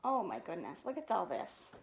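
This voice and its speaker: A woman's voice: fairly low pitch, sing-song, normal speed, vocal fry. A woman hosting storytime, reading a children's storybook aloud expressively and adding casual asides. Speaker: storytime host.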